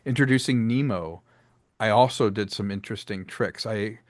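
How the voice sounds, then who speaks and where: A man's voice: on the low side, normal speed, no room sound. Enthusiastic man, dry close mic. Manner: enthusiastic, explains with many restarts and voiced asides. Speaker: director and sound designer. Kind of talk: casual interview chat.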